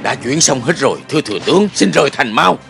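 An actor dubbing an anxious, deferential army officer in an animated historical drama, speaking formally and urgently. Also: male voice, medium pitch, fast talker, gravelly, faint music behind. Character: anxious, deferential male army officer reporting to his lord.

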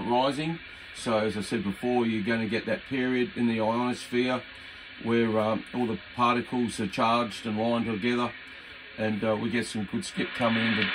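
An older man with an Australian accent giving easygoing, halting, amused commentary on his radio hobby. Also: medium pitch, fairly even intonation. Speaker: radio hobbyist presenting his own video. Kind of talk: casual unscripted commentary. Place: indoors at a home radio desk. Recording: noisy room with radio static. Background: CB radio hiss and static.

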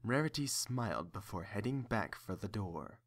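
A man's voice: lowish voice, lively intonation, moderate pace. A man reading scripted third-person story narration as the narrator of an audio drama.

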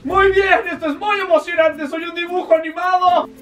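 A young man hosting a vlog in a loud, playful, exclamatory way. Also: very high-pitched, fairly even intonation, normal speed, faint music behind. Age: young adult. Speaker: host of a costume vlog. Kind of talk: excited vlog presenting. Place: costume shop and outside its storefront. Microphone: handheld camera at arm's length.

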